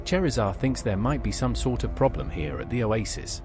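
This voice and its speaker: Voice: mid-range voice, big pitch swings, normal speed, music in the background, natural voice. A performer voicing a plain-spoken, inquisitive adventurer in a video game, brief and direct. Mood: businesslike. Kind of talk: video game character dialogue.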